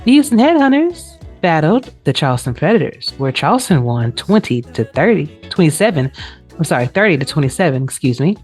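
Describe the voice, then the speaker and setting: A woman's voice: low voice, sing-song, fairly quick, a little mellow, faint music behind. A woman hosting a casual sports recap show, talking in a chatty, informal way. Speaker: show host.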